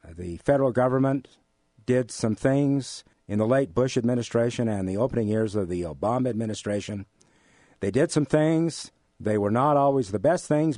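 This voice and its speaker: An American man of mature years speaking as an economics professor and interview guest, explaining things in a deliberate, emphatic way. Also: mid-range voice, darkish tone, no echo, clean studio sound. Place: radio studio.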